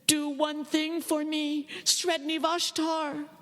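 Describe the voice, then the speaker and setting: A woman voicing a lonely, sickly boy who chants bitter, fervent prayers slowly and intensely in a storytelling performance. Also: sits high, little pitch movement, faint music behind, voice pushed up. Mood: fervent pleading. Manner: slow, incantatory, intense. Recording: live performance in a reverberant hall.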